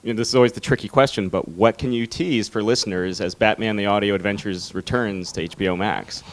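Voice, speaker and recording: A man's voice: fairly low pitch, fast. A man working as an interviewer, asking questions in a conversational press interview style. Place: media room at a fan convention.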